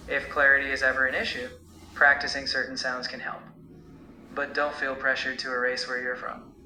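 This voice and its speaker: Bright-toned AI tutor voice, male. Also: mid-range voice, echoey room, slight background noise, poor audio. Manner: warm, measured, coaching. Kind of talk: encouraging spoken feedback.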